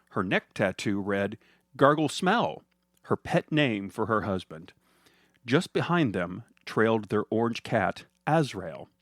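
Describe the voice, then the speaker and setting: A man's voice: mid-range voice, no echo, studio quality. A man narrating a scripted comedic story as a storyteller.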